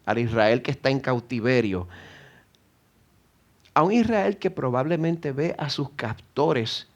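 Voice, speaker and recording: A man's voice: medium pitch, lively intonation, unhurried. A middle-aged man with a Puerto Rican accent preaching an earnest sermon in church, rising to emphatic exhortation. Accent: Puerto Rican Spanish. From Puerto Rico. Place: church sanctuary.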